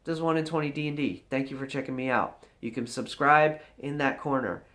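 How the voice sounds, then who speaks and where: A man's voice: mid-range voice, fairly even intonation, a little fast, a bit bright. A man giving an earnest, emphatic motivational talk to camera as a vlog host, encouraging his viewers. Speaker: vlogger, channel host. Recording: roomy indoor echo.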